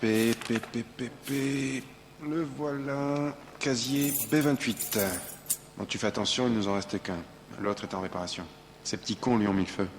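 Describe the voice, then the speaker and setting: A man's voice: medium pitch, a little slow, natural voice. A man playing a blunt, bossy old hand briefing a newcomer in a comic audio drama, speaking curtly and matter-of-factly. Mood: businesslike. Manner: curt, matter-of-fact, bossy. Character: gruff, blunt veteran male staffer briefing a newcomer. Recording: echoey hall playback, faint noise.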